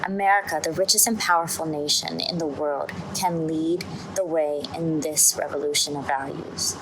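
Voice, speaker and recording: Lowish-voiced woman reading over background noise. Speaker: reader in a student theatre performance. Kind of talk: reading a famous speech aloud. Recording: clear background noise, ambient sound.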